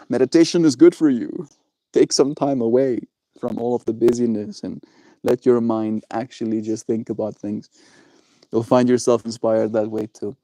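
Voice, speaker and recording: A man's voice: mid-range voice, warm tone, no echo. A young man chatting casually on his livestream, laid-back and encouraging, answering viewers and calling them bro.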